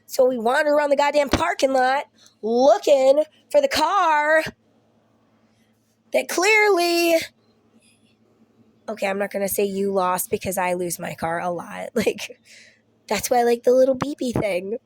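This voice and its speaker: A woman's voice: sits high, lively intonation, measured pace, long pauses. A young woman, ill and losing her voice, chatting casually to camera at home, rambling and swearing with laughing asides. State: ill with Covid. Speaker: vlogger.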